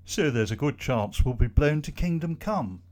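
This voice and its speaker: A man voicing a sad, weary middle-aged boss who talks calmly and reasonably in a storybook reading. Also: medium pitch, moderate pace, pitched above the performer's own voice, close to an everyday voice.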